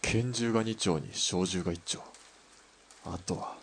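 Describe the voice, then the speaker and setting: An actor playing a steady, perceptive hired sniper in a radio drama, with blunt, rough masculine speech. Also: male voice, deep voice, a little slow, slight background noise. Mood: dry. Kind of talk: Japanese radio drama acting.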